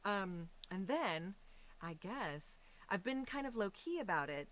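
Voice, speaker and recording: A woman's voice: medium pitch, big pitch swings, measured pace, fairly bright tone, rough recording. An American woman talking casually and animatedly as an interview guest. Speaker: guest, voice and stage actress.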